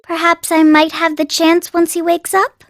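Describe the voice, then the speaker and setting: An actress playing a sweet, refined teenage princess in an anime game dub, with genteel, formal phrasing. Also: female voice, extremely high pitch, completely flat delivery, normal speed, no echo, studio quality. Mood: cheerful, playful. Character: sweet, poised teenage princess putting on a brave face.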